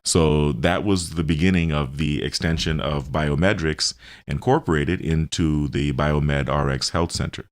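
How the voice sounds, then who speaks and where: A middle-aged man answering questions in a radio interview, explaining his health services carefully and haltingly. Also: a bass voice. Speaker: show host and health business owner being interviewed.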